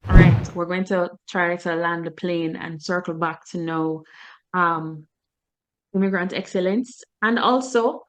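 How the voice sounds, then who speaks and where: Woman hosting an interview show, smooth clear voice, lots of mm-hmm, strings stuff into lists. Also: on the low side, steady tone, a little slow, rough recording. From immigrant to Canada. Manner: affirming, lots of "mm-hmm", talks in rolling lists.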